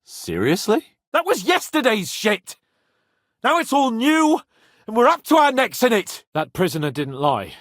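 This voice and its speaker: An actor playing a proud, hot-headed young nobleman in a video game, with a theatrical, sharp-tongued manner. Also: fairly high pitch, no echo, studio quality. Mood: exasperated, angry. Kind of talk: dramatic video game voice acting.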